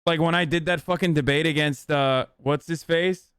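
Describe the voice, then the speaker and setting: A man's voice: fairly high pitch, steady tone, moderate pace, fairly bright tone, clean tone, no echo, clean studio sound. A man in his thirties from the United States, reacting on a live stream with profane, sarcastic banter. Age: 30s.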